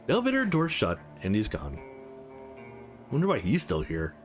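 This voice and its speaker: A man's voice: lowish voice, wildly swooping pitch, normal speed, faint music behind. A man reading a visual novel aloud as a let's play host, dropping in casual asides between the lines.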